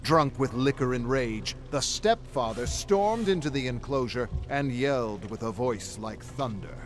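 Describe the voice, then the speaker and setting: A man's voice: mid-range voice, animated, unhurried. A man streaming a game, reading lore passages aloud and breaking off to react casually and swear freely. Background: game music.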